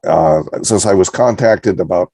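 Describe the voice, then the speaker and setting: Deep gravelly older man on a video call. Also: dark tone, no echo. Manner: halting, pauses while sorting out his screen. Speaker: guest speaker. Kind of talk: lodge presentation over video call. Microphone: computer mic on a video call.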